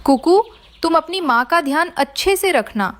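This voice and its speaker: A generous cartoon fairy, voiced by a woman, with a high voice. She is kindly and reassuring toward little ones. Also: lively intonation, normal speed, fairly bright tone. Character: kind, generous female red fairy. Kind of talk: children's cartoon voice acting.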